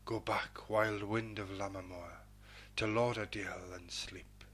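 A man hosting a poetry programme and reading verse in a measured, dramatic, declamatory manner with a husky voice. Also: on the low side, steady tone, a bit bright. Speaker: poetry programme host and reader.

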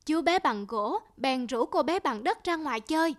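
Woman playing a patient, high-voiced mother. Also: animated, moderate pace. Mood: storytelling. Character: patient, gently instructive mother. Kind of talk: cartoon dialogue and storytelling.

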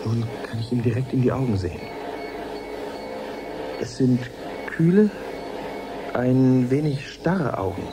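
An actor playing an eager male science reporter in a radio drama, commenting live with measured wonder. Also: mid-range voice, very animated, noisy background. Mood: awed, searching.

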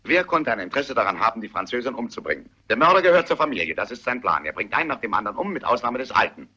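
An actor playing a methodical police inspector who reasons deliberately in a German radio mystery play. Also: male voice, medium pitch, very animated, sharp tone, husky, exaggerated voice. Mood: analytical. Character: probing, methodical male police inspector on a murder case. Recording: muffled, compressed radio play recording.

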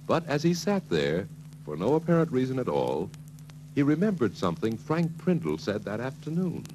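A man's voice: medium pitch, big pitch swings, normal speed, mellow, lightly acted. A man narrating a 1940s sales training film in a scripted storytelling style. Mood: brisk storytelling. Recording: noisy, humming 1940s film soundtrack.

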